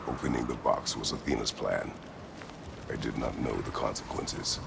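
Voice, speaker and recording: An actor playing a grim, brooding older warrior god in a video game, terse and grave with a gravelly voice. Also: male voice, a bass voice, moderate pace, very noisy, heavily acted.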